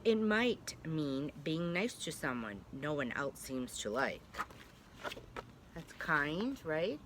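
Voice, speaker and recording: Woman teacher doing storytime for little kids, gentle n reassuring, chatty asides, bit of noise behind her. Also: deep voice, big pitch swings, slow. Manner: gentle, reassuring, checking in with young listeners. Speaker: preschool teacher reading a story aloud. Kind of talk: storybook read-aloud with chatty asides to young children. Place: at home.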